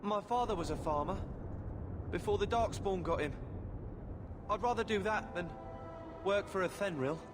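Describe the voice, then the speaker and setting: A man's voice: sits high, moderate pace, pauses often, over music, natural voice. A man playing a scared, earnest fifteen-year-old boy with plain rustic speech in a fantasy video game. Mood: earnest, sorrowful. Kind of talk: video game cutscene acting. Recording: clear ambient game noise behind.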